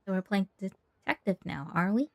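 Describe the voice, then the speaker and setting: A woman's voice: medium pitch, animated, moderate pace, creaky, background noise. Wry young woman adventurer. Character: dry-humored young woman adventurer, the traveler. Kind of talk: anime video game voice acting.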